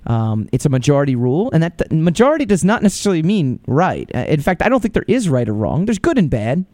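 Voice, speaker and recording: A man's voice: fairly high pitch, sing-song, fast, darkish tone, dry recording, studio quality. A man hosting a podcast, giving casual, opinionated commentary with lots of fillers and restarts. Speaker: podcast host.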